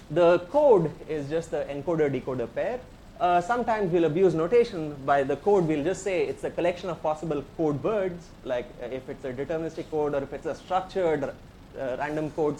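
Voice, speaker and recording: Indian-accented male professor, slightly high pitch, talks through the material step by step and keeps inviting questions. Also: mellow, a little hiss or hum, poor audio. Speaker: professor giving a tutorial. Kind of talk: technical lecture.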